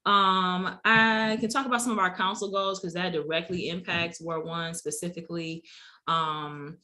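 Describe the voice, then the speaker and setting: A middle-aged woman, a city council member, answering interview questions in a measured, explanatory way. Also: on the low side, fairly even intonation, noisy background. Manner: measured, explanatory, uses fillers.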